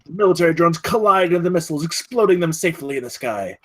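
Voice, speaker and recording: A man's voice: a little high, animated, quick pace, fairly bright tone. A man reading stage directions at a screenplay table read, in a dramatic, clipped way.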